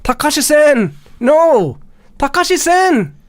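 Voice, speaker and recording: A man hosting a casual stream chat, excitable and quick to laugh, often repeating himself. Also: very high voice. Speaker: streamer host. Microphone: video call.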